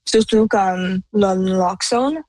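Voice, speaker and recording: A woman's voice: mid-range voice, a little mellow, dry recording. A young woman student whose first language is Punjabi, answering interview questions with many hesitations. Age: young adult. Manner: halting, many fillers and restarts. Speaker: student guest.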